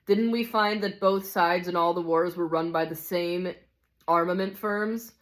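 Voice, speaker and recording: A man's voice: sits high, a bit bright. A woman reading the part of a cynical, hard-bitten male ghost in a storybook, grumbling scornfully and insistently. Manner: scornful, insistent complaining with rhetorical questions.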